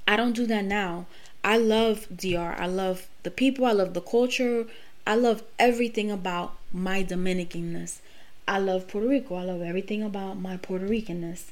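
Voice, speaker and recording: Podcast host, woman, late 30s, frank and emphatic, swears freely, mid-pitched voice. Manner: candid, profane, emphatic. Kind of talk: personal monologue.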